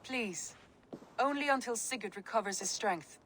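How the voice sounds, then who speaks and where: An actress playing an earnest settlement steward who gently pleads for help. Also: female voice, a little high, moderate pace, a little hiss or hum, lightly acted.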